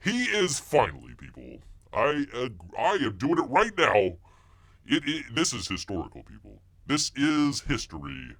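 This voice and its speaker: A man's voice: mid-range voice, a bit bright, heavily acted. A man playing a blustery rambling bear. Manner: rambling, stammering, keeps calling out to people; refers to himself in third person. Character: bumbling blustery male bear, self-styled woods veteran. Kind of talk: comic character monologue.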